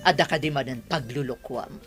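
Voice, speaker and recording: A woman's voice: a bass voice, fairly quick, fairly bright tone, soft background music. A woman playing a mature, bossy and sceptical businesswoman mother in an Ilocano Filipino radio drama. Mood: questioning, sceptical.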